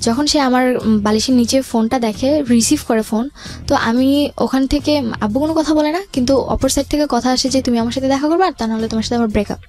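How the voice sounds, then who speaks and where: Interview guest: young woman, Bangladeshi accent, highish voice, hesitant storytelling, English words mixed in, music underneath. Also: fast, a little mellow. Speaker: guest telling life story. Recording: music bed behind the voice.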